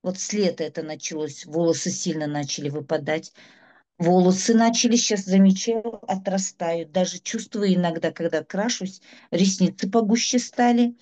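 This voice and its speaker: A woman's voice: fairly low pitch, moderate pace, mellow. A middle-aged woman, giving a chatty, rambling personal testimonial about her health. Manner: chatty, rambling, listing ailments. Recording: dull lo-fi sound.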